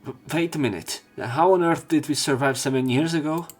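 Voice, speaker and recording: A man reading visual novel lines aloud as let's play narration, halting at times and correcting himself. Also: a little high. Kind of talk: read-aloud narration and commentary of game dialogue. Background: game music.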